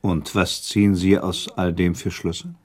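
An actor playing a thoughtful, self-doubting prince in a radio drama, speaking in courteous, elaborate formal phrases. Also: male voice, deep voice, flat delivery, unhurried, darkish tone. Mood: conceding, questioning. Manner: formal, elaborate, with inserted asides. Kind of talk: German radio drama acting.

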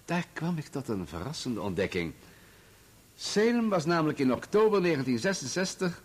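An actor playing a composed, matter-of-fact journalist giving measured, formal testimony in a radio drama. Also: male voice, a little high, big pitch swings, breathy edge. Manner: measured, formal, factual recounting.

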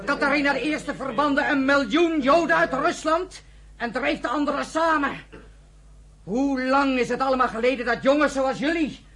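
Fiery bitter pioneer giving a speech in a radio play, high bright voice, kinda husky. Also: female voice, moderate pace, a faint crowd. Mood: bitter, impassioned. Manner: declamatory, rhetorical questions. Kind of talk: impassioned radio play oratory.

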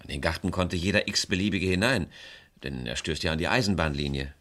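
A low, deep male voice in a radio crime play. He is a beleaguered small-town property dealer and estranged husband, confiding his troubles in halting, sighing phrases. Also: a bit bright. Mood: resigned. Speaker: radio drama actor.

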